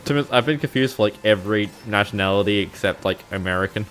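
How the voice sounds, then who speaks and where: A man's voice: fairly low pitch, fast, fairly bright tone, faint music behind, poor audio. A young man with an Australian accent, rambling casually to his livestream viewers with frequent chuckles and fillers. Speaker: streamer.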